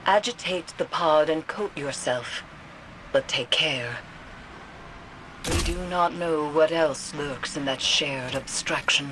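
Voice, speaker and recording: An actress playing a grave mystic guide in a video game, speaking in solemn, formal, cryptic phrases of warning. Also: female voice, low-pitched, slow, background noise. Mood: solemn, foreboding. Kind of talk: scripted video game dialogue. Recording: loud music behind the voice.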